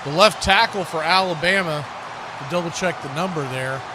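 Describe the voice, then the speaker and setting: A man's voice: on the high side, normal speed, noisy background. A man hosting a livestream, calling football play-by-play and chatting casually with viewers. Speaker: livestream host and play-by-play commentator.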